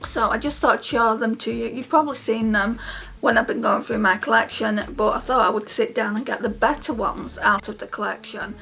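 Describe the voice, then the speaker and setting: A woman's voice: a little high, fairly even intonation, fairly quick, creaky, sharp tone, soft background music. A British woman talking casually to her viewers as a beauty vlogger, in a rambling, chatty way. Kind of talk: casual chatty makeup vlog.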